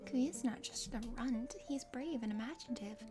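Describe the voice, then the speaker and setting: A woman voicing a kind, earnest young aspiring knight in a breathy ASMR game reading. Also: a little high, normal speed, close to an everyday voice. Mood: protective. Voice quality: whispery, breathy. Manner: gentle, sincere. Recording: soft music behind.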